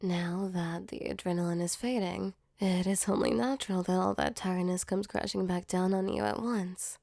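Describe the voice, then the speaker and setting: Casting: woman as affectionate sleep demon, creaky soft voice, close and dry, reassuring ASMR roleplay delivery. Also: on the low side, fairly even intonation, normal speed, no echo. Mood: soothing, protective. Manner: soothing, tender, reassuring. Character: gentle female sleep paralysis demon, affectionate and protective. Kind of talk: ASMR comfort roleplay, soft intimate acting.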